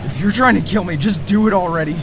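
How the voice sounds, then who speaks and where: Man voicing a conspiracy theorist, high voice, defiant, stammering, noise behind. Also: quick pace. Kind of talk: audio drama acting.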